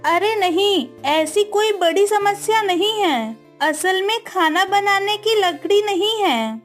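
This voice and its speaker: कार्टून कहानी में नौकरों को डाँटती, रोबदार और बेसब्र ज़मींदार की पत्नी का किरदार निभाती एक महिला।. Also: female voice, extremely high pitch, heavily acted. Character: bossy, impatient landlord's wife scolding her servants. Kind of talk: cartoon moral story acting.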